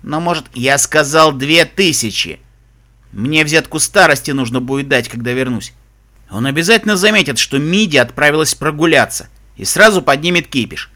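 A man voicing a grumpy, greedy zebra smuggler captain in an audiobook, with a hoarse, gruff voice and barking sailor talk. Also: a little high, expressive, normal speed, bright, forceful tone. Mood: haggling. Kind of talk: audiobook character voice.

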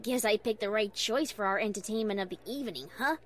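A woman's voice: medium pitch, fast talker, natural voice. A woman voicing a brash, protective tomboy pegasus mare from a cartoon, with a blunt and feisty manner. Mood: casual.

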